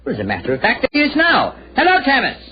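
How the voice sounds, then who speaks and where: A man's voice: very high voice, huge pitch swings, fast, exaggerated voice. An actor playing a courteous, worried young British nobleman in a 1940s radio drama. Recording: dull old radio recording, faint noise.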